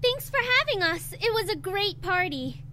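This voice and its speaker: A woman's voice: very high voice, very animated, normal speed. An actress playing a cheerful young woman, a friendly party guest in a video game.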